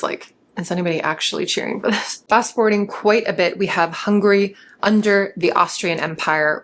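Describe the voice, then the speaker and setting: A woman's voice: lowish voice, fairly bright tone, slight background noise. Wry woman reviewing books. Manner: wry, playful asides with light laughs. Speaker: book reviewer. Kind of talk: scripted book review monologue.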